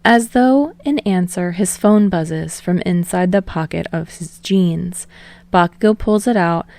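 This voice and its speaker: Woman narrating fiction, creaky voice. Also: fairly low pitch, unhurried, a little mellow, no room sound. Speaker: fan fiction audiobook narrator. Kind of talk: scripted story narration.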